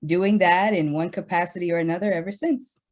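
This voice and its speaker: A woman in her thirties, an educator from the Dominican Republic raised in Brooklyn, talks through her story in a radio interview with a lot of fillers, sometimes speeding up and sometimes slowing down. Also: lowish voice, steady tone, darkish tone. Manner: conversational storytelling with many fillers and restarts, speeds up and slows down. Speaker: educator, guest.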